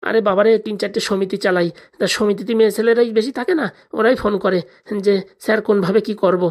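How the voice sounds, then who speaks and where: Casting: man to play exasperated, henpecked husband, comic cartoon, quick plaintive protests. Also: high voice, fairly even intonation, vocal fry. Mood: defensive. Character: henpecked, exasperated husband, a small-time village politician.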